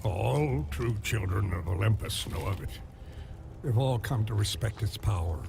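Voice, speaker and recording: A gravelly, tormented giant smith god. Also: male voice, on the low side, lively intonation, noisy background, exaggerated voice. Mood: wary, warning. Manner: theatrical, brooding, halting. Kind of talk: dramatic video game acting.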